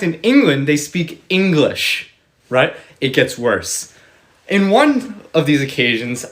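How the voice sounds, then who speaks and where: A man's voice: high voice, fairly bright tone. A young man, a college student, telling a casual story in an incredulous, mocking way. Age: young adult. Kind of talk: casual anecdote.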